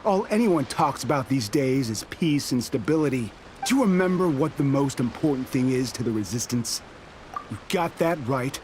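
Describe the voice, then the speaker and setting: A man's voice: mid-range voice, animated, normal speed, darkish tone, a little hiss or hum, lightly acted. A man voicing a stubborn, proud soldier in a video game, defiant and scornful. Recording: game audio with music.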